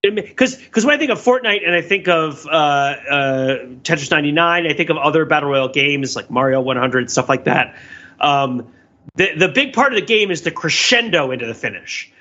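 A man's voice: a little high, expressive, a little fast, sharp tone, lo-fi sound. A man talking casually as a podcast panelist, discursively explaining with examples and frequent check-ins.

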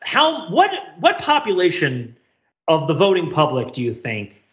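A man with a fairly high, bright and punchy voice chats as a podcast co-host. His delivery is rambling and jokey, in casual comedic discussion. The sound is roomy and a little muffled. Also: huge pitch swings, unhurried. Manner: rambling, jokey, frequent laughing.

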